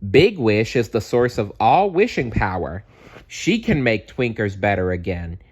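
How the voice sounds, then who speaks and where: A man voicing an excitable, caring young female bear from a children's storybook, earnest and pleading. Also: on the low side, unhurried, breathy edge, exaggerated voice. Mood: hopeful. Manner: exclamatory, pleading.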